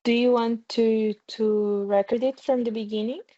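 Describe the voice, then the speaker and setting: A woman's voice: on the high side, fairly even intonation, normal speed, mellow. A woman whose native language is Portuguese, answering as a podcast guest over a remote video call, picking her words with some hesitation. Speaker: podcast guest, games industry business development manager. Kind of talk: interview answers. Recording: dull, lo-fi online call audio.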